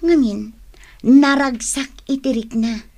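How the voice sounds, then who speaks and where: An actress playing a down-to-earth, kindhearted young woman in an Ilocano radio drama, animated and expressive. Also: sits high, takes it slowly, faint music behind, exaggerated voice. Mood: glad, willing.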